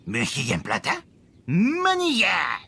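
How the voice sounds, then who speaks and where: A woman's voice: lowish voice, huge pitch swings, slow, pushed and bright, slight background noise, heavily acted. A woman voicing a brutish, simple goblin-like creature in a video game, growling in babbled gibberish. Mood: excited, simple-minded. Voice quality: growly, gravelly. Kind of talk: English game dub creature voice.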